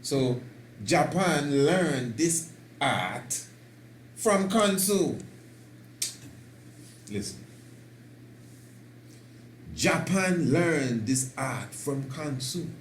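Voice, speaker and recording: A man hosting a talk, speaking slowly and emphatically and building to excited declarations. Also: fairly high pitch. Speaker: host. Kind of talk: preaching talk. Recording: roomy echo with faint noise.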